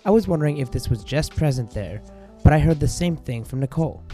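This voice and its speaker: A young man hosting a student podcast, reading scripted linking narration in a measured way. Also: a little high, lively intonation, mellow, faint music behind. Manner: measured, reads linking lines. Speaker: host.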